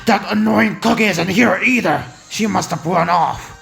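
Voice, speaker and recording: An actor voicing a brash, hot-headed male cookie fighter, loud, impatient and scornful, in an animated fantasy fandub. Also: mid-range voice, moderate pace, gravelly. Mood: angry, impatient. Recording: voice over background music.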